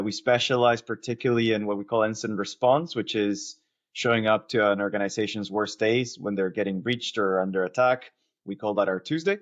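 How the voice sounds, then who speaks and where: Low-voiced man, slightly muffled audio. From Argentina. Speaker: company founder being interviewed. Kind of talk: conversational interview answers.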